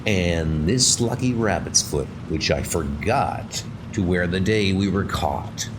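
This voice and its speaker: A boastful, talkative convict from a horror comic , acted out by a man. His slangy patter swings up and down in pitch. Rain noise sits behind it. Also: expressive, slow pace. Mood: pleased, musing. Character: cocky bespectacled convict henchman, swaggering and talkative.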